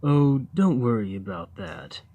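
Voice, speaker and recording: Slow-talking, gentle, naive giant played by a man. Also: medium pitch, very animated. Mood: helpful. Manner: hesitant, earnest, gently upbeat, slow. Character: friendly, naive, awkward male giant living in a cave. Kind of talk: ASMR roleplay, acted monologue to the listener.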